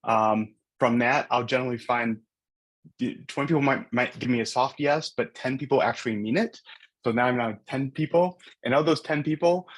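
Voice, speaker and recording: A man talking on a video call in an easygoing, enthusiastic way. His pitch sits in an ordinary middle range, and he fills his talk with casual filler words as he explains. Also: moderate pace. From based in San Diego. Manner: enthusiastic, loose with many fillers. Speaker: iOS developer and event facilitator. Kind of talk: casual online panel talk.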